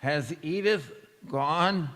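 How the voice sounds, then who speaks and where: A man acting a feeble, forgetful old grandfather in a stage radio play, halting, dreamy and fondly teasing. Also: on the high side, a bit bright. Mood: drowsy, confused. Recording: echoey hall with long reverb.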